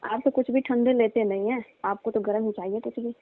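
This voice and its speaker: A weary, argumentative young daughter-in-law acted by a woman complains and pleads in a conversational phone skit. Her voice sits fairly high with little melodic movement, heard over a narrow, muffled phone line. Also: steady tone, brisk, no room sound, close to an everyday voice. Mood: complaining. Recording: dull, band-limited phone call recording.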